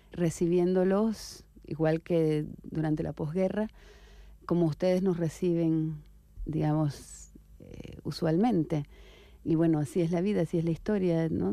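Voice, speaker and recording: A woman writer from Venezuela answering interview questions in a reflective, unhurried way, with soft hesitations and tag questions. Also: lowish voice, no echo, clean studio sound.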